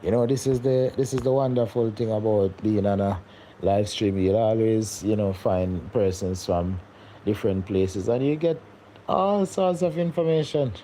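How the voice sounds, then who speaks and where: A man's voice: on the low side, moderate pace, warm tone, a little hiss or hum, low-quality recording. Rambling man on a live stream. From Jamaica. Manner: rambling, repeats words, laughs often. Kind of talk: casual live-stream chat reading comments.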